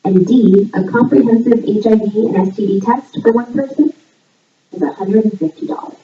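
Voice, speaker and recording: A woman hosting a trivia game in a scripted voice-over, reading questions and answers in a measured, item-by-item way. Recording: echoey, distant, muffled low-fidelity playback. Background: voice audio played back in the room while filming.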